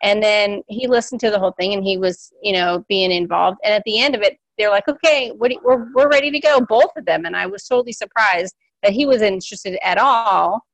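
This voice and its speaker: Woman new coach, quick animated storytelling, close dry mic. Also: medium pitch, no room sound, rough recording. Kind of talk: casual storytelling.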